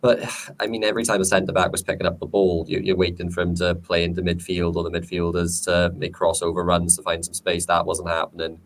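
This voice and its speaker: A man talking as a guest on a casual football podcast, giving measured analysis. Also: low-pitched, little pitch movement, slight background noise. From Wales.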